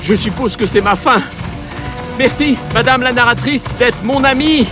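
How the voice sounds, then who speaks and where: A man playing a hapless young apprentice tailor in a comic storybook tale, expressive, whiny and sardonic. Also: very high-pitched, normal speed, music in the background. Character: hapless young male apprentice tailor, polite but sarcastic. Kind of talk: comic acted storybook dialogue.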